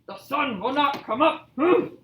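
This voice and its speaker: Woman as a loud boastful storybook bear. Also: high-pitched, sing-song, full character voice. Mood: stubborn chanting. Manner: slow, emphatic proclaiming. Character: big boastful, foolish bear, loud and stubborn. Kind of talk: children's storybook read-aloud. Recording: echoey room.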